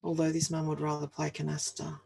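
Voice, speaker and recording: A woman in her forties with an Australian accent reads comic rhyming verse aloud in a deliberate, wry and playful manner. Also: sits low, monotone, creaky, mellow, slightly rough. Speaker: reader of a humorous picture book. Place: small home office, indoors.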